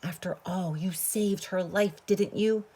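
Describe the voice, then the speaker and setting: A woman's voice: fairly low pitch, fairly even intonation, slow pace, warm tone, slightly rough, exaggerated voice. A woman voicing a greedy, pushy peasant father in a storybook, insistent and scheming. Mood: scheming, hissing.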